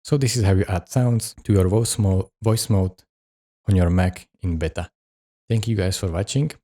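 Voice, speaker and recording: A man hosting a step-by-step software tutorial, narrating each instruction. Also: low-pitched, moderate pace. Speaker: tutorial host.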